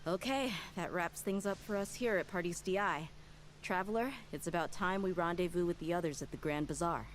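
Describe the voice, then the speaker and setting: A woman's voice: on the low side, fairly quick, slight background noise, natural voice. A woman voicing a laid-back, shrewd adult mercenary in a game, matter-of-fact in delivery. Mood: brisk, friendly. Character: easygoing, shrewd adult woman mercenary who loves drink. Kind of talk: animated game voice acting.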